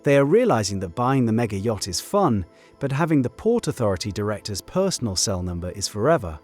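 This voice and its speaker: A man delivering scripted video-essay narration in measured, rhetorical short phrases. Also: on the low side, very animated, darkish tone. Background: background music.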